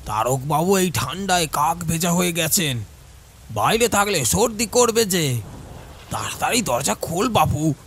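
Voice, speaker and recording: A man playing a burly, loud servant in an audio horror story, calling out in a coarse, drawn-out shout over music. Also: animated. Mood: impatient, demanding. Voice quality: booming, coarse voice. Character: burly loud servant bellowing at a locked door.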